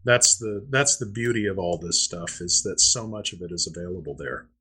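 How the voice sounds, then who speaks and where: A man's voice: sits low, moderate pace, pushed and bright, husky. A man co-hosting a podcast in casual chat, explaining things and thinking aloud. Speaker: podcast co-host.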